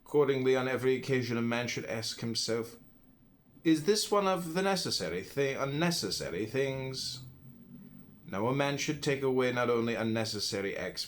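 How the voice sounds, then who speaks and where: A man's voice: medium pitch, a bit bright, slight background noise. A man reading a philosophy audiobook, stressing key words and phrases with dramatic emphasis. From United States. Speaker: audiobook reader.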